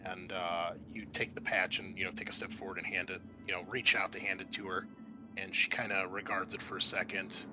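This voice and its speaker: A man running a tabletop roleplaying game as game master, narrating informally and haltingly as he improvises the scene. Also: low voice, flat delivery, music in the background.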